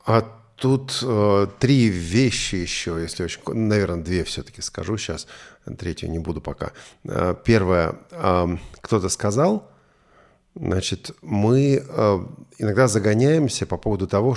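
Low, creaky-voiced man in earnest discussion. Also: animated, moderate pace, darkish tone. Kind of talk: conversational discussion with stories and reflections.

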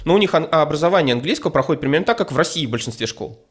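A man from Ukraine, a guest telling his story in a relaxed chat, hesitant, with lots of fillers and careful wording. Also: fairly high pitch, rapid-fire. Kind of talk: casual conversational storytelling.

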